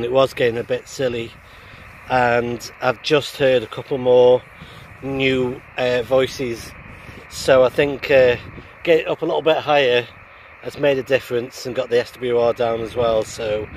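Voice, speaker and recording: A man's voice: medium pitch, poor audio. Middle-aged man talking outdoors, faint noise. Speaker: amateur radio operator and vlogger. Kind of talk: casual outdoor vlog talk. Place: outdoors on open heathland by a road.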